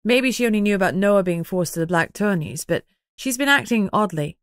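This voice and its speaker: A woman's voice: medium pitch, lively intonation, fairly quick, no echo, close to an everyday voice. Woman playing a young heroine in an audiobook, frank and wry, dry close sound. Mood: thinking aloud.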